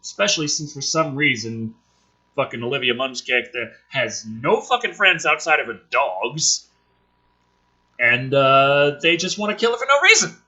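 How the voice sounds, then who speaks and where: A man's voice: mid-range voice, big pitch swings, moderate pace, sharp tone, slightly rough, muffled recording. A man sarcastically reading through a film script and commenting on it in a profane, mocking, theatrical way. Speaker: host of a script review show.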